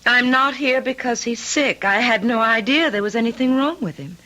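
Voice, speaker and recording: Bright-voiced, weary, resolute young wife. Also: female voice, on the high side, moderate pace, low-quality recording. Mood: firm, tense. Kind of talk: old-time radio drama acting.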